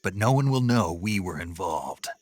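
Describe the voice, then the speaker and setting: An actor playing a gruff, stoic special forces operative in a spy video game, with a gravelly voice and terse military delivery. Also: male voice, lively intonation, moderate pace, no echo. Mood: terse, controlled. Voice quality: gravelly, husky. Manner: terse, low-key military reporting. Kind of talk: dramatic video game voice acting.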